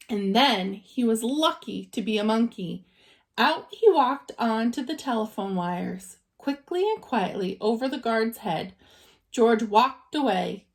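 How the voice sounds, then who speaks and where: A woman narrates a children's storybook in a high voice. She takes her time and moves expressively up and down, dramatizing the tale for young listeners as a teacher would. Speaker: teacher reading aloud.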